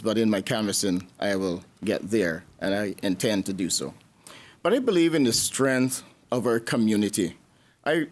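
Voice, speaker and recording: Measured, formal man. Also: mid-range voice. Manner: measured, formal, lists points slowly. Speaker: election candidate. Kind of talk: prepared campaign speech.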